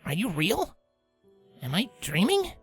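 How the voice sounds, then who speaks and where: A woman's voice: mid-range voice, huge pitch swings, slow pace, slightly rough, soft background music, heavily acted. A woman voicing a brash but rattled young female pegasus pony in an audio fan fiction. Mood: confused, unsure.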